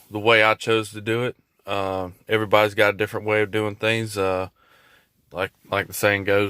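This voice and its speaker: A man's voice: fairly low pitch, a bit bright, smooth, dry recording, studio quality. A man giving a casual, hands-on video walkthrough in a laid-back, folksy way. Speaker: hobbyist vlogger showing a truck install.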